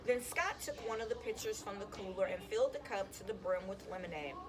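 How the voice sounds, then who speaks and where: A woman reading a children's chapter book aloud in an expressive, storytelling style. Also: mid-range voice, brisk, loud music behind the voice. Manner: animated, acts out dialogue. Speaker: school counselor reading aloud to students.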